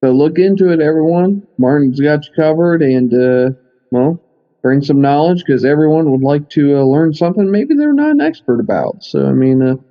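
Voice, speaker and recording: A man talking casually as a podcast guest, halting and thinking aloud as he goes, recorded remotely on a computer mic. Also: fairly high pitch, lively intonation. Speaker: podcast guest, anime fan expert. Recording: dull, lo-fi computer call audio.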